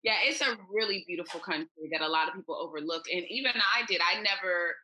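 A woman's voice: fairly low pitch, fast, pushed and bright, a little hiss or hum, rough recording. An American woman chatting as a podcast guest, telling upbeat stories over a remote call. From United States. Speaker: podcast guest, expat traveler. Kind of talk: casual interview chat.

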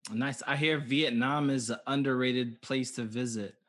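A man's voice: medium pitch, fairly even intonation, measured pace, fairly bright tone. A man with an everyday mid-range voice hosts a podcast. He keeps it loose and jokey and laughs along with his guest. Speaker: podcast host. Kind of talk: casual interview chat.

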